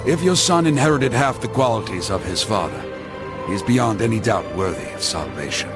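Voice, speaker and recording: Brief: man as a pious game crusader, lowish, declamatory, wide swings over loud music. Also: lively intonation, brisk, natural voice. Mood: earnest farewell. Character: fervent, grave crusader knight and loyal old friend.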